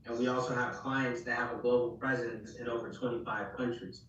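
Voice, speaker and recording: A man from Ghana introducing himself as a guest accountant in a steady, polite, businesslike way. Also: medium pitch, fairly quick, very echoey, distant mic, highs cut off, a little hiss or hum. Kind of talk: self-introduction on a web call.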